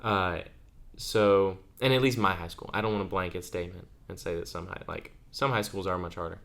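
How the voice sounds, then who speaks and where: Young man, eighteen, very low voice, chatty podcast co-host, laughs through his stories. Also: steady tone, fairly quick, gravelly, fairly bright tone. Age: under 20. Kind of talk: casual chat.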